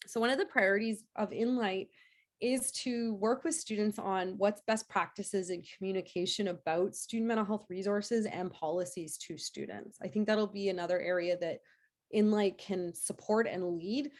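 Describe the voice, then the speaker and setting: A woman in a lecture Q&A, her voice hoarse as she gets over a cold. She answers off the cuff at a middling pitch, full of fillers and restarts. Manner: hesitant, many fillers and restarts. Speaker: nursing professor giving a lecture.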